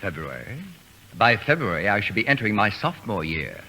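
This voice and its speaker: An actor playing a pompous, self-satisfied male novelist with deadpan, precise formal diction in an old radio comedy. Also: fairly low pitch, a little fast. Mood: haughty, deadpan. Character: haughty self-declared genius, an imperious male novelist. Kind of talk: 1940s radio comedy acting. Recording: noisy old radio broadcast recording.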